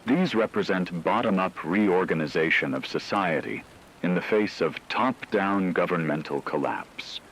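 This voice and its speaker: A man playing a grave, analytical news anchor in an apocalypse radio drama, speaking in a measured, formal way. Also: deep voice, fairly bright tone, a little hiss or hum, poor audio. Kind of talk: scripted radio news commentary.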